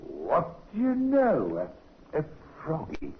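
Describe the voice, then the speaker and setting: An actor playing a gruff, blunt middle-aged innkeeper husband in a vintage radio crime drama. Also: male voice, on the high side, wildly swooping pitch, takes it slowly, a little hiss or hum, full character voice. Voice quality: gravelly, bossy. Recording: muffled vintage radio recording.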